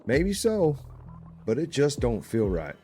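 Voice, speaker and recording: A man's voice: medium pitch, huge pitch swings, slow, dark tone, slight background noise. A man playing an anxious, claustrophobic small-town deputy in an audio drama, plainspoken and grumbling. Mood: skeptical, grumbling. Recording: music under the voice.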